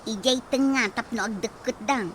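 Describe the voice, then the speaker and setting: A woman's voice: fairly high pitch, moderate pace, a bit bright, close to an everyday voice. Woman playing an easygoing older sister. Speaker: elder sister figure called manang. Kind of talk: radio drama acting. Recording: audible background noise.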